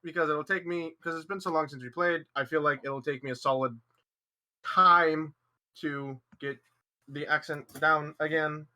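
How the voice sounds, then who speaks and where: A brash man with a strikingly bright, forceful voice pitched fairly high. He chats casually as a tabletop player and swears freely. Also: fairly even intonation, normal speed. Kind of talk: casual chat.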